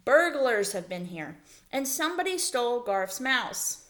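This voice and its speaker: A woman reading a storybook and voicing an excitable young girl camper who shrieks dramatic alarms. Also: a little high, a little slow. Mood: alarmed.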